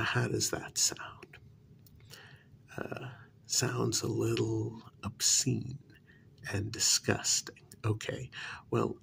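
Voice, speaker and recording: Man playing a slow, whispering, gravelly agent. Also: lowish voice, a bit bright, exaggerated voice. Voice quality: breathy, half-whispered, gravelly. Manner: slow, hesitant, halting. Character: apologetic, easily flustered male talent agent for supernatural creatures. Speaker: ASMR role-play performer. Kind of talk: soft-spoken whispered ASMR role-play.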